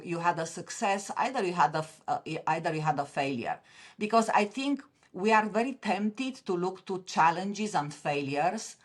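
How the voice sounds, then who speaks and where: A middle-aged woman with a Romanian accent, talking as a podcast guest in a somewhat low voice. She speaks with earnest passion, often circling back and restarting her phrases mid-thought. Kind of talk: interview conversation.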